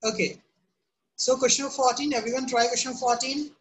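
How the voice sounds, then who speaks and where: A woman's voice: sits high, fairly even intonation, normal speed, slight background noise, low-quality recording. A woman teaching a live online math class, often asking "right?" and "okay?" to check on students. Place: home room.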